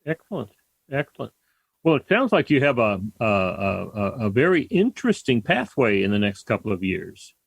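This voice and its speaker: A man's voice: lowish voice, big pitch swings, normal speed, creaky, husky, dry recording. Radio host guy, encouraging, stammers a bit, dry close mic. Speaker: radio talk show host. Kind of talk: interview host.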